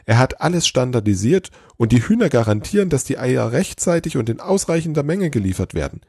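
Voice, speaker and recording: A man voicing an eager, excitable chief Christmas elf in a storybook tale, breathless and gushing. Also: mid-range voice, brisk, husky, dry recording, clean studio sound, pitched above the performer's own voice. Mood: breathless excitement.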